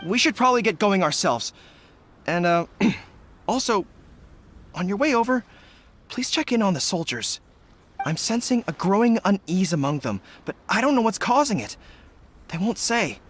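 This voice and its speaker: An actor playing an earnest, dutiful young army general in a video game, speaking in a formal, respectful manner. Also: male voice, high-pitched, big pitch swings, normal speed, pauses often, fairly bright tone, heavily acted. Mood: concerned, dutiful. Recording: game music underneath.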